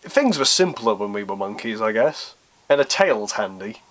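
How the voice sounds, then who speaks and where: A man's voice: fairly low pitch, very animated, normal speed, a bit bright. A man talking casually in a Q&A vlog, informal and self-deprecating, thinking aloud as he answers. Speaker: YouTube creator answering viewer questions.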